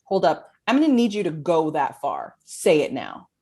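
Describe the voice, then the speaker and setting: A woman's voice: deep voice, big pitch swings, moderate pace, mellow, slightly rough, full character voice. A woman playing a brash, shady private-eye type in her thirties, pushy and emphatic, in a comedy table read.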